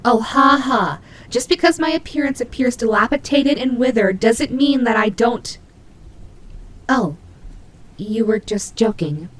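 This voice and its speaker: A woman's voice: on the high side, animated, unhurried, slight background noise, rough recording. A woman playing a prickly but caring female nightmare demon rabbit in an audio roleplay, speaking to the listener with hesitant, teasing, flustered delivery. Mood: defensive, awkward.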